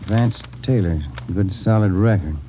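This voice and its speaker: An actor playing a steady, weary police detective in an old American radio crime drama, plain-spoken and businesslike. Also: male voice, lowish voice, measured pace, natural voice. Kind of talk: 1940s-50s radio crime drama acting. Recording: dull, faintly noisy old radio recording.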